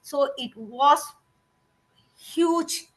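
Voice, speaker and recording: A woman whose first language is Tamil gives an earnest panel talk. Her voice is bright and tense and sits fairly high. Her speed changes, sometimes hurried and sometimes slow. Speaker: panel speaker.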